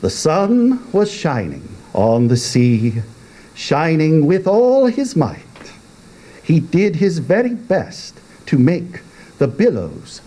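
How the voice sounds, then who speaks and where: A man giving a theatrical reading with a deliberate, dramatic manner and long pauses. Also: medium pitch, dark tone. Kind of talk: theatrical poetry recitation.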